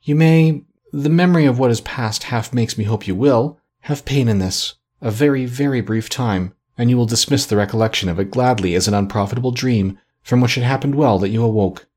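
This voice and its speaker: A man reading an audiobook, voicing a gentle, sorrowful young woman who speaks with earnest, measured reproach. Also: mid-range voice, close to an everyday voice. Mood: resigned, sorrowful.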